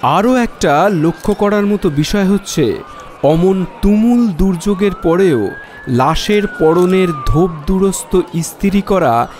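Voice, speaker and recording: An actor playing a polite, sharp, self-assured amateur detective in an audio drama, speaking in a measured, quietly probing way. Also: male voice, sits high, expressive, slight background noise. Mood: reasoning, assured.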